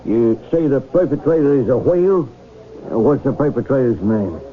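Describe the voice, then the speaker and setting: Actor as a bumbling cop, tinny old radio. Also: male voice, medium pitch, lively intonation, moderate pace, faint music behind, natural voice. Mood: plodding, puzzled. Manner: hesitant, folksy, uneducated diction. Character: slow-witted, flustered, plodding male police officer. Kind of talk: 1970s radio comedy-drama acting. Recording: thin, phone-like 1970s radio recording.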